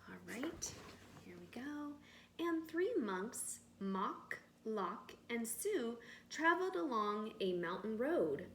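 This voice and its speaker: Female read-aloud teacher, expressive and unhurried, explaining simply for children; echoey room, faint noise. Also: fairly high pitch. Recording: roomy echo with faint background noise.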